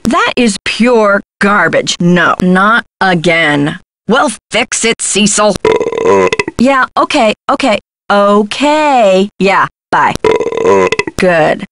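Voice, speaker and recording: A woman's voice: mid-range voice, expressive, extremely slow, a bit bright, no echo, exaggerated voice. An actress playing a slick, self-important female spokeswoman in a video game, with a grandiose, theatrical manner. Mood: brisk, dismissive.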